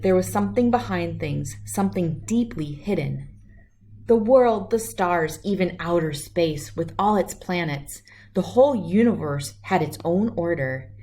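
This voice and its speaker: Slow-paced woman reading a storybook aloud. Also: on the low side. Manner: expressive, acts out character lines. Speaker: read-aloud host. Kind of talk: storybook read-aloud for children.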